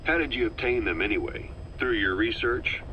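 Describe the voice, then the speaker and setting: An actor playing a reserved, inquisitive soldier in a video game, asking questions in a terse, dry, low-key way. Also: male voice, lively intonation, moderate pace, close to an everyday voice. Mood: probing. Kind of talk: dramatic video game dialogue. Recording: dull cassette tape with faint noise.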